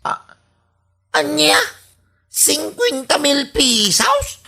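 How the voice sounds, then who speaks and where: A woman voices an exasperated, suspicious Ilocano husband in a radio comedy drama. The voice is gravelly and fairly high, with very animated pitch and a probing manner. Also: extremely slow, heavily acted. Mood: shocked. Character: suspicious, exasperated husband questioning his spendthrift wife.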